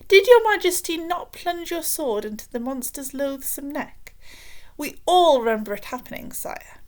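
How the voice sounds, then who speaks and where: Woman playing an oily, high-pitched scheming male royal advisor. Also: normal speed. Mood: fawning, pompous. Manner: oily, flattering, pompous. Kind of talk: children's storybook character voice.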